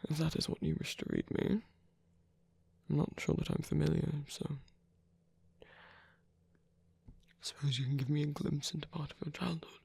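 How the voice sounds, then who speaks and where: Man acting a breathy, gravelly, weary rebel villain. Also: a little high, fairly even intonation, a little mellow, full character voice. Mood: tender, teasing. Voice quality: breathy, gravelly, often near a whisper. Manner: hesitant, halting, murmured with pauses. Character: weary, soft-spoken male rebel villain, tenderly teasing lover. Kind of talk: intimate ASMR roleplay audio drama.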